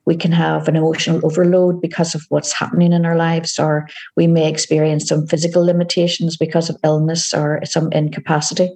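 Northern irish lady, low mellow voice, calm and steady, explaining things in an interview. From Northern Ireland. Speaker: guest, community organisation director. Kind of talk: podcast interview answers.

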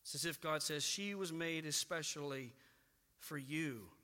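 A man preaching a sermon as a pastor, earnest and emphatic, rising for emphasis and dropping low on key words. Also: a little slow, pushed and bright, long reverb tail.

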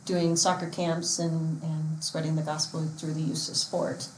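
Earnest low-voiced woman, echoey room. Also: little pitch movement, slight background noise. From Portland, Oregon. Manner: earnest, halting with many fillers and restarts. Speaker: missions trip organizer and youth sports coach. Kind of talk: casual podcast interview. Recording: echoey room with faint ambience.

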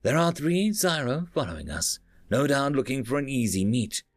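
A man's voice: mid-range voice, big pitch swings, moderate pace, no room sound, clean studio sound, faint music behind, pitched above the performer's own voice. A man voicing a dutiful, wary alien warship captain with a formal, commanding manner in a science fiction story.

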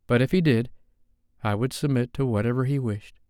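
A man's voice: mid-range voice, normal speed, a little mellow, clear, resonant tone, no echo, clean studio sound, pitched above the performer's own voice, natural voice. A man voicing a self-righteous, earnest, devoted woman in an audiobook. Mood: earnest insistence. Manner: preachy, earnest.

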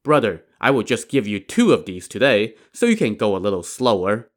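A man's voice: fairly low pitch, very animated, brisk. A man voicing a sly male magician in a story, teasing and instructing in a mock-serious way. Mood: commanding.

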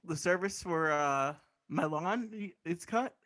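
A man's voice: fairly high pitch, a little slow, fairly bright tone. A man improvising casual comic banter in a rambling way. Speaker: improv comedy cast member.

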